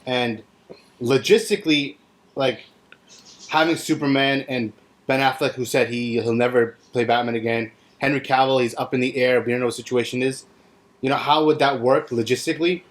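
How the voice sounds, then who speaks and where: A man's voice: mid-range voice, fairly even intonation, normal speed. A man speaking as a panelist, reasoning tentatively through points in a casual discussion.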